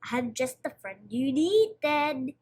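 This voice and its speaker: A woman's voice: high voice, animated, takes it slowly, darkish tone, full character voice. A woman voicing a sly, clever male fox with a coaxing, falsely helpful manner for a storybook. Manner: smooth coaxing, cunning.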